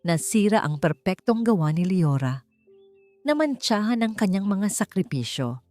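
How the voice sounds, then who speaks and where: A woman's voice: mid-range voice, very animated, moderate pace, mellow, no echo. A woman narrator reading a scripted story in a dramatic storytelling style. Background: background music.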